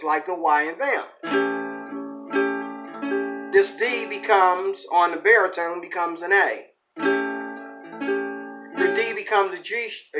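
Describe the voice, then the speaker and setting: A man's voice: sits high, pushed and bright, rough recording. A man giving an informal ukulele lesson, thinking aloud in a rambling, folksy way and correcting himself as he goes. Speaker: ukulele teacher and channel host. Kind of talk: informal instructional talk with playing. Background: ukulele strumming.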